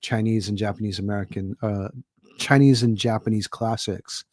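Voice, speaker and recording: A man's voice: on the low side, steady tone, normal speed, darkish tone, dry recording, studio quality. A middle-aged man from Hawaii, an author guesting on a podcast, talking in a thoughtful, rambling way with many fillers. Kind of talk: casual podcast conversation.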